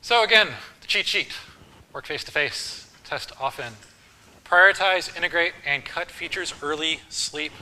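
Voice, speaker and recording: A man teaching a university course, talking casually with frequent ums and quick lists. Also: on the high side, bright, forceful tone, low-quality recording. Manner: casual, list-making, filler ums. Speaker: course instructor. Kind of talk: university lecture.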